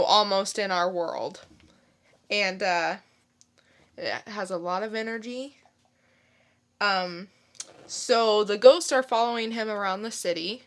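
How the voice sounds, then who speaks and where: Slow-paced female podcast co-host. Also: mid-range voice, a bit bright. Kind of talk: casual chat and informal review.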